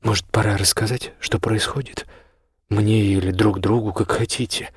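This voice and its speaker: A man's voice: lowish voice, steady tone, moderate pace, slightly rough, dry recording, studio quality. A man voicing a firm, frank marquis in an audiobook, speaking sternly and insistently as a concerned friend.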